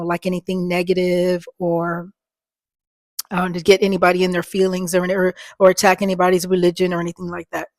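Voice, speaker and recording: A woman in her fifties with a soft voice that doesn't carry, talking to her viewers in a rambling, earnest way through a small microphone, her voice sounding worn. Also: medium pitch, no room sound. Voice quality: soft voice that does not carry. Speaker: vlogger. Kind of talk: casual talk to viewers.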